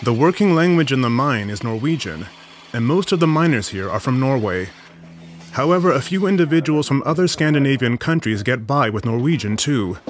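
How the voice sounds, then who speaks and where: A man reading scripted documentary narration in an even, measured way. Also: medium pitch, background noise. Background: music.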